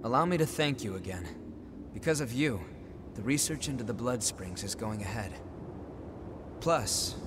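A man's voice: mid-range voice, lightly acted. An actor playing a polite, earnest young male researcher in a video game, explaining plans. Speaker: game character. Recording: game audio with steady background noise.

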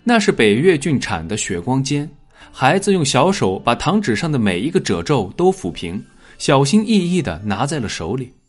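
Man reading a dramatic audiobook, expressive slightly rough voice, soft music underneath. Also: mid-range voice, moderate pace. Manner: expressive storytelling. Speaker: audiobook narrator. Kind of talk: scripted dramatic story narration.

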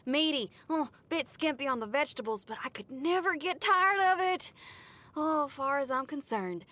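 A woman's voice: high-pitched, pushed and bright, heavily acted. A woman voicing a brash young female rock guitarist in a game, cocky and upbeat. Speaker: game character. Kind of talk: game voice lines.

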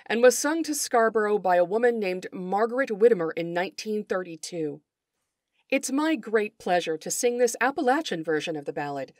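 A woman's voice: medium pitch, no room sound. A woman hosting a podcast, reading scripted narration in a formal, articulate way. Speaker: podcast host, folklorist.

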